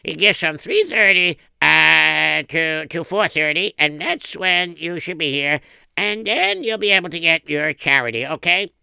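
A man voicing a polite, dithering secretary in a comic story, speaking in a halting, drawn-out, placating way. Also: on the high side, animated, gravelly, sharp tone, clean tone, dry recording, heavily acted. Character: polite, dithering secretary guarding a busy boss. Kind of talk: acted dialogue in a comic story.

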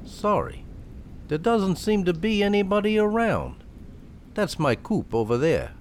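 An unsettling male stranger with a casually familiar way of talking, from an old radio thriller. He speaks slowly, his pitch swinging widely, over a faintly noisy vintage recording. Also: sing-song. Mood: casual, probing. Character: unsettling, smooth-talking male stranger at lonely gas station.

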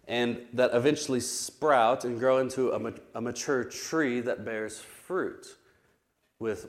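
A man teaching a Bible study class, his voice still recovering from an illness, explaining terms carefully with frequent pauses and restarts. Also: mid-range voice.

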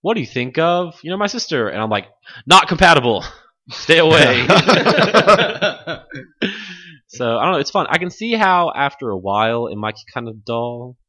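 A man's voice: on the high side, sing-song, normal speed, sharp tone, dry recording. A man chatting casually about video games as a podcast host, rambling and often given to laughter.

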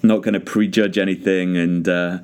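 Low-voiced man hosting an interview. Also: flat delivery, darkish tone, smooth. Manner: friendly, polite, unhurried questions. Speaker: podcast host, interviewer. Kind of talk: conversational interview.

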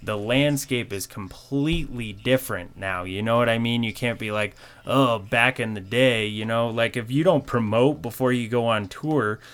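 A man in his twenties from Colorado, hosting a casual chat in a relaxed, conversational way with lots of "you know". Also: mid-range voice, moderate pace, hardly any pauses, smooth. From Colorado, USA. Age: 20s. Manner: laid-back, conversational, peppered with "you know".

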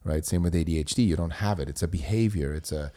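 A podcast host who grew up in Switzerland and speaks German as his first language. His voice sits very low with a warm, mellow tone. He talks casually and keeps checking in with rhetorical questions. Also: fast. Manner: chatty, asks rhetorical questions.

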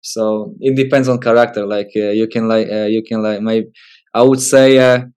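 A Polish man in his twenties with a Polish accent, giving casual interview answers as a footballer in hesitant, halting English. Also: fairly low pitch, steady tone, darkish tone, smooth. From Poland. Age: 20s. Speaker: professional footballer, interview guest.

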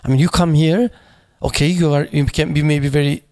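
A man speaking at a memorial panel, halting and searching for words, with many fillers and restarts in English that is not his first language. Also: on the high side, steady tone, a little mellow. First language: not English; foreign accent in Turkish noted.

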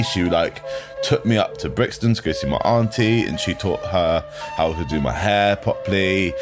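Very deep-voiced, wry young man. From England. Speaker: interviewee. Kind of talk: personal storytelling. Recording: interview over music.

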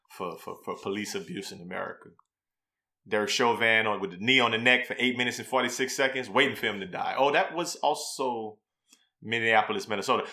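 A man's voice: fairly low pitch, pushed and bright. A man co-hosting a podcast, talking in a slangy, blunt, emphatic way as he gives casual commentary. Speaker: podcast co-host.

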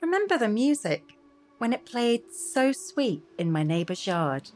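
A woman's voice: on the high side, big pitch swings, unhurried, a little mellow, faint music behind. A woman speaking poetic lines in a radio play.

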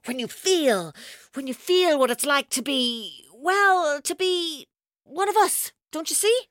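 A woman's voice: high voice, animated, slow pace, a bit bright, no echo, exaggerated voice. Menacing, mercurial female captor, dramatically swooping. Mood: intense, exalted. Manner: theatrical, mocking, mercurial, intense. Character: menacing, taunting female captor, playful, bitter, unhinged. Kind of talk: audio drama acting.

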